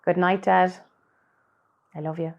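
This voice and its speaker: An actress playing a weary, patient grown-up Irish daughter in a radio play, with a polite, gentle manner. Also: female voice, on the low side, level tone, a little slow, vocal fry, highs cut off. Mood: caring, weary.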